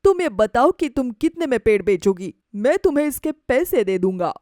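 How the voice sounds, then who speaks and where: Woman putting on a bossy, greedy village man, high-voiced. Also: very animated, normal speed, mellow, dry recording, studio quality. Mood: incredulous, demanding. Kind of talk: animated moral story dialogue.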